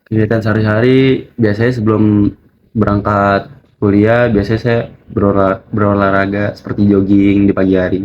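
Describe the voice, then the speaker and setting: Dull noisy audio, guy about 20, lowish voice, plain interview answers. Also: little pitch movement, normal speed, a little mellow. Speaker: student interviewee in a group discussion. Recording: muffled, noisy lo-fi recording.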